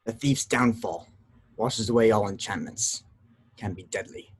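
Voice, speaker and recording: Casting: a man as a grim, cryptic goblin schemer, low and raspy, few words, for a script read. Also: unhurried. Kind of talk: amateur screenplay table read.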